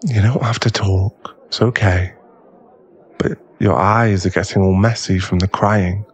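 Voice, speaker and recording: A man playing a young werewolf bar owner in an ASMR boyfriend roleplay, teasing but secretly tender, with a halting, stammering delivery. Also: low voice, steady tone, dull audio, slight background noise. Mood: soothing, gentle.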